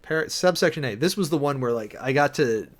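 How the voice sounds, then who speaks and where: A man with a mid-range voice that swings widely in pitch as he reads a document aloud. He is theatrical and emphatic, like a preacher-host performing for viewers. He often digresses into sardonic commentary between the formal passages. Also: lively intonation, a little fast. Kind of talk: dramatic reading of a government document with commentary.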